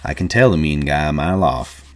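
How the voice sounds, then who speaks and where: A man voicing a calm, steady mule driver who speaks slowly and low.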